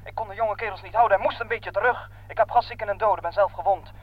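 High-pitched panicky young soldier. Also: male voice, quick pace. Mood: shaken. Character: young wounded panicky soldier reporting by field telephone. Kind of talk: radio play acting. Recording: dull lo-fi old radio play.

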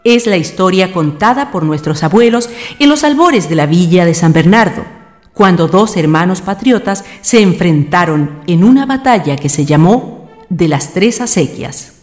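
A woman reading scripted radio drama narration as a deliberate, theatrical storyteller. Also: on the low side, animated, faint music behind.

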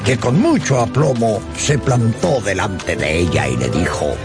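A man's voice: on the low side, very animated, unhurried. A man narrating a radio novel as a scripted, dramatic storyteller. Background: music.

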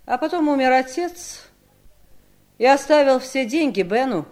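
An actress playing a scornful, cold-hearted, greedy wife in a 1970s radio drama, with a mocking, theatrical delivery. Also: female voice, high voice, lively intonation, measured pace, a little mellow. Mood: mocking, reminiscing.

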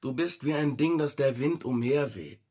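An actor playing a calm, philosophical man who gives cryptic wisdom in a measured, solemn way in a radio drama. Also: medium pitch, close to an everyday voice. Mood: calm, wise.

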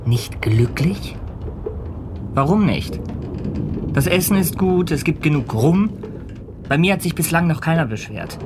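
An actor playing a calm, authoritative ship's captain in a radio play, courteous and reflective. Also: male voice, on the high side, a little slow, pauses often, background noise. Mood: calm, questioning. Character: composed, authoritative male ship's captain on polar voyage.

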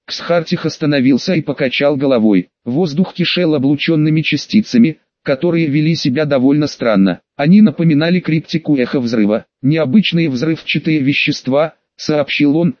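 Dull-sounding, higher-voiced male audiobook narrator. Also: a little fast, hardly any pauses, darkish tone, no room sound. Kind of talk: scripted narration of a science fiction novel.

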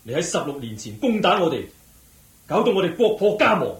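An anguished, swooping young avenging prince. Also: male voice, high voice, very animated, fairly quick. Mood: vengeful, resolute. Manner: declamatory, emotional, theatrical. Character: young exiled crown prince bent on avenging his father, honourable, anguished. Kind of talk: Cantonese radio drama acting. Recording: echoey radio drama recording.

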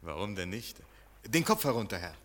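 A man's voice: lowish voice, big pitch swings, normal speed, a bit bright. A man in a German radio play acting a worldly boatman and grotto guide, coaxing, servile yet commanding. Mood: soothing.

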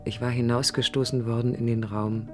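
An actress playing a passionate, defiant young woman architect who looks back in an intimate, measured radio play monologue. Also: very deep voice, flat delivery. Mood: reflective, wistful. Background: music.

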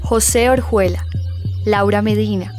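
A woman's voice: mid-range voice, fairly even intonation, a little slow, darkish tone, soft background music. A woman announcer reading out a scripted list of radio show credits.

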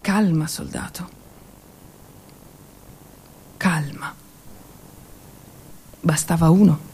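A woman's voice: low-pitched, drawn-out words, warm tone, husky, pitched below the performer's own voice, full character voice. A woman playing a cold, menacing male Italian army general, commanding and scornful in a theatrical war monologue. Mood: sneering, controlled.

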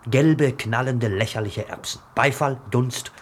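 An actor in a German radio play as an obsessed, restless dreamer, talking in theatrical fragments. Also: male voice, medium pitch, measured pace, slight background noise, natural voice. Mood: rapt, bitter. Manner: theatrical, fragmented, brooding delivery. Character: obsessed, restless dreamer retelling a circus story.

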